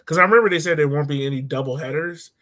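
A man's voice: fairly high pitch, rapid-fire, pushed and bright. A man in his thirties hosting a sports podcast from home in a casual, joking style. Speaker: podcast host. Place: room at home, indoors.

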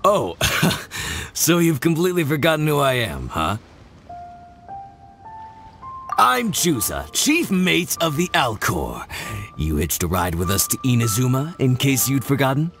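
An actor playing a burly, easygoing, chatty chief mate in a video game, talking informally and hunting for words. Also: male voice, mid-range voice, wildly swooping pitch, normal speed, fairly bright tone, faint music behind. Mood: hearty, teasing. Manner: breezy, informal, hesitating for words. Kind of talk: video game dialogue acting.

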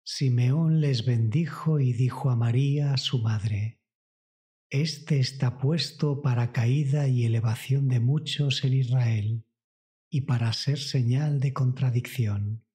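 A man reading a scripted devotional meditation in a solemn, measured, reverent way. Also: mid-range voice, mellow. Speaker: narrator of a guided prayer meditation. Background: music.

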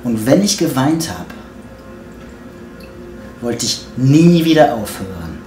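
A man recites poetic spoken word very slowly, leaving theatrical pauses. His voice rings in a roomy kitchen, with music clearly playing behind him. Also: mid-range voice, big pitch swings, darkish tone. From France. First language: French. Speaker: author and spoken word artist presenting own book. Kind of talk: poetic spoken word book trailer monologue. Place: small home kitchen, indoors.

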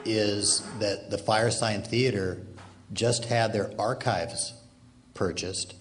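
A man's voice: on the low side, fairly even intonation, takes it slowly. A man hosting an informal interview panel on stage in front of a live room. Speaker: panel host. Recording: faintly noisy live stage recording.

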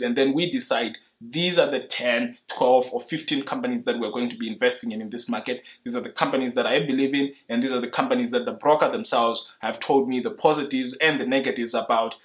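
A young man from Zimbabwe, explaining his investing approach to camera in an earnest, measured way, seated indoors. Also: mid-range voice, a bit bright. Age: young adult. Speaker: investing YouTuber. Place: indoor room in front of a leather sofa.

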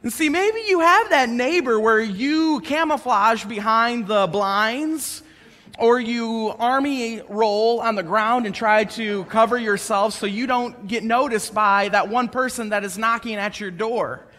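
A man in his thirties preaching a sermon on a church stage, conversational and animated as he tells personal stories. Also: extremely high pitch, measured pace. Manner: conversational and animated, gesturing while pacing the stage. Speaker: pastor. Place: church stage, large indoor room. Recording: reverberant church hall.

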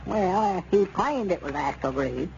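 High-voiced folksy old storekeeper. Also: male voice, lively intonation, moderate pace, darkish tone. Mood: wary. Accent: rural Southern US. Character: folksy, stubborn, wary old country storekeeper. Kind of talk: 1930s radio comedy acting. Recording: dull, noisy old radio recording.

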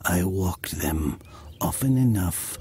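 Slow, gravelly, brooding village storyteller. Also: male voice, low voice, lively intonation, darkish tone, a little hiss or hum, full character voice. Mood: brooding, haunted. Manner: slow, deliberate, theatrical. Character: ghostly grown Italian village man, brooding, wistful storyteller. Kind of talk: dramatic audio fiction storytelling.

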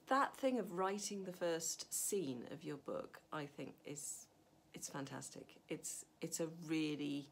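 Low, gravelly-voiced woman giving writing advice. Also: a little hiss or hum. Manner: chatty, thinking aloud with fillers and restarts. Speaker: novelist. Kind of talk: casual advice talk to camera. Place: home study with a map on the wall.

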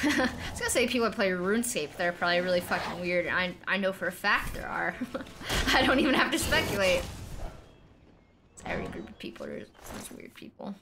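A young woman streaming a game, with a very high-pitched, childlike voice, telling a rambling, self-deprecating story with laughs and swearing. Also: sing-song, brisk, noisy background. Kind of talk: casual gaming stream chat.

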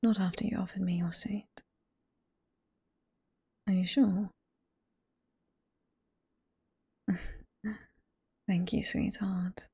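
Casting: actress as teasing older female librarian, British accent, ASMR roleplay. Hushed, very airy whisper, slow and sweet. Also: medium pitch, little pitch movement, no echo, studio quality, heavily acted. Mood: grateful, sweet. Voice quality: soft, breathy whisper.

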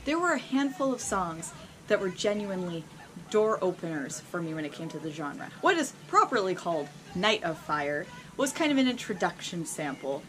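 A young woman vlog host telling a personal story casually and unscripted, with theatrical emphasis and dramatic pauses. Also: mid-range voice, fairly bright tone. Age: young adult. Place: small indoor room lined with shelves.

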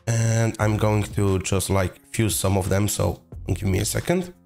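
Hoarse young man, lowish voice, casual game commentary, faint music behind. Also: a little slow. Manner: chatty, gets excited over rare drops. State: hoarse from being sick. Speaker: gaming video host.

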